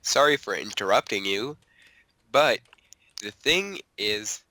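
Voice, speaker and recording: A man who is a native French speaker co-hosts a casual gaming podcast. He speaks in a low, bright voice, cutting in with polite, hesitant interjections. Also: animated, slow, poor audio. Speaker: podcast co-host.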